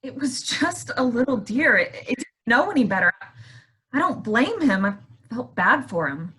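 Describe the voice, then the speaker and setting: A woman in a staged play reading as a shaken, soft-hearted wife, speaking haltingly and repeating words. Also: a little high, sing-song.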